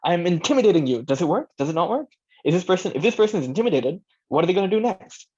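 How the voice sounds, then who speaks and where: A man's voice: sits high, sing-song, racing, a little mellow, breathy edge. Conversational man presenting, slightly dull recording. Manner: conversational, thinking aloud, checks in with listeners. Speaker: workshop presenter, audio drama director. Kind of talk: informal workshop talk with demonstrated lines. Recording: dull, lo-fi band-limited sound.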